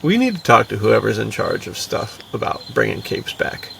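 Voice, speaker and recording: Male podcast co-host, very creaky voice, bro-ish casual banter, slightly distant mic with faint noise. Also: lowish voice, sing-song, normal speed, bright, forceful tone. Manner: slangy, bro-ish, full of "dude" and "like". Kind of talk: casual, enthusiastic chat.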